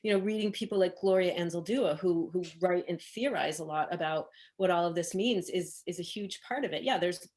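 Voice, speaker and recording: A woman with a fairly low voice leads a workshop over a video call. She talks in a casual, hesitant way, with fillers and restarts along the way. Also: fairly quick, hardly any pauses, darkish tone. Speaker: workshop facilitator.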